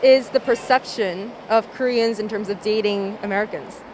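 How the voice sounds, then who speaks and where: A woman's voice: mid-range voice, moderate pace. A woman working as a street interviewer, putting questions to people. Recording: clear street traffic noise.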